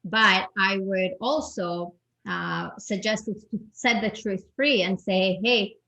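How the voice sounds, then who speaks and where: A woman's voice: medium pitch, steady tone, slow, gravelly, sharp tone, low-quality recording. A woman from Europe, a guest expert giving advice in a panel talk, with a strong voice and many restarts and repeated words.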